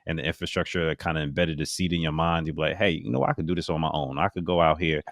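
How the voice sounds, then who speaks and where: A man's voice: extremely low pitch, fairly even intonation, quick pace, vocal fry, no room sound, studio quality. A man co-hosting a podcast interview, asking long questions as he thinks aloud. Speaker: podcast co-host. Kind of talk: casual interview.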